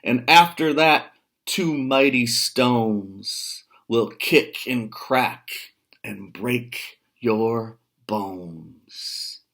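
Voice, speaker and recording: A man voicing a huge, bold storybook billy goat, roaring with deliberate defiance. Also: mid-range voice, gravelly, a bit bright, full character voice. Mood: defiant.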